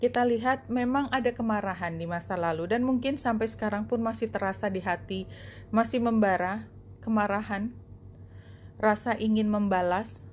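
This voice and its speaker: A woman giving a spoken card reading to viewers, explaining reflectively in short phrases with pauses. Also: a little high, a little hiss or hum. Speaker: tarot and oracle card reader.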